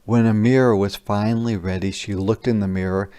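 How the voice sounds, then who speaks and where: A man reading a picture book aloud as a teacher, pausing to explain words simply in a deliberate storytelling manner. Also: on the low side, steady tone, dark tone, clean tone. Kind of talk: interactive children's read-aloud with explanations.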